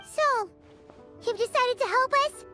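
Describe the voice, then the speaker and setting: A woman voicing a tiny, childlike female fairy companion in a video game, earnest and excitable, with a squeaky voice. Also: measured pace, exaggerated voice. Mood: curious, hesitant. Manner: refers to self in third person, eager. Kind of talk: video game character acting. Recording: loud music behind the voice. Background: music.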